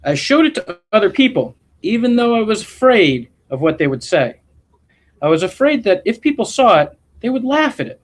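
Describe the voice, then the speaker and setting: A man reading a picture book aloud like a principal to schoolchildren, with expressive, deliberate storytelling delivery and short asides. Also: high voice.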